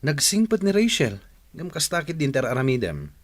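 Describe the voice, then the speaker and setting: A man's voice: a little high, huge pitch swings, moderate pace. A man with a Filipino accent playing a sensible young friend, frankly advising and admonishing in a radio drama.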